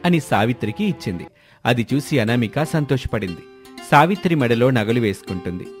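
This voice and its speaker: A man's voice: mid-range voice, lively intonation, brisk. A man reading a scripted story as its narrator, with music behind him.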